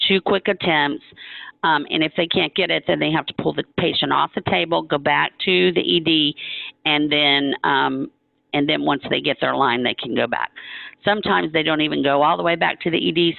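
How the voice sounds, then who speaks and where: Gravelly-voiced woman on a phone line. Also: low-pitched, no echo. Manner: conversational, halting, self-correcting, emphatic. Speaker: hospital stroke coordinator presenting. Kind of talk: informal explanatory conference-call presentation. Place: conference call. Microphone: telephone. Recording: thin, narrow-band telephone line.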